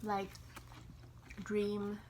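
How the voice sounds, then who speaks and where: A woman's voice: medium pitch, completely flat delivery, extremely slow, noisy background. A woman talking casually in a food vlog, with a wry, teasing way of speaking. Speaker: food vlogger. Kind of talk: casual mukbang chat.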